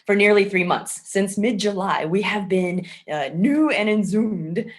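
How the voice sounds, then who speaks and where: A woman's voice: mid-range voice, unhurried. A woman hosting a virtual event on a video call, speaking casually with a wry, self-deprecating manner. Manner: informal, wryly self-deprecating. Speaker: event host and playwright. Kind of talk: casual introduction to a virtual staged reading.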